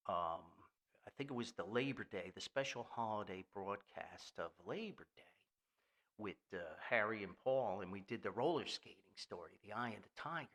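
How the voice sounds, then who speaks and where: An older American man hosting a podcast in a rambling, nostalgic monologue, folksy, halting and enthusiastic. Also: lowish voice, animated, a bit bright. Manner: folksy, halting, enthusiastic, refers to himself by name. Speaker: podcast host.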